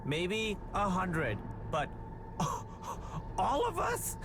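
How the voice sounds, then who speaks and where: An actor playing a gleefully menacing orc captain in a video game, mocking his prey in a theatrical, drawn-out delivery. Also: sits high, huge pitch swings, background noise, full character voice. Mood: mocking menace.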